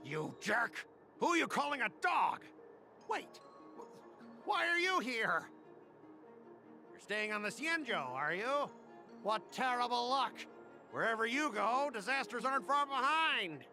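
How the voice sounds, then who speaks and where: Game role: irate male cargo worker, piercingly bright, animated delivery, emphatic and blustering. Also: extremely high pitch, a little slow, long pauses, faint music behind, full character voice. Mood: indignant. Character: irate, stubborn male cargo-company worker, loud complainer. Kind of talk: video game voice acting.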